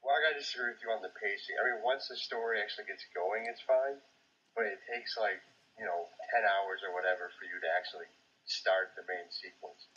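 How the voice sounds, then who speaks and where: A man's voice: fairly low pitch, fairly quick, pushed and bright, husky. A man co-hosting a gaming podcast in casual conversation, recorded with a muffled, lo-fi sound. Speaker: podcast co-host.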